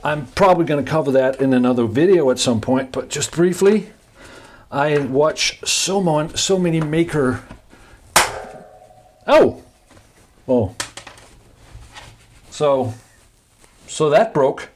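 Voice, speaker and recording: A man's voice: fairly high pitch, a little mellow, a little hiss or hum. A man with a Danish accent, talking casually in English while he replaces bike tires, with lots of fillers and restarts. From Denmark. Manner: halting, many fillers and restarts, chatty asides. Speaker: DIY maker vlogger.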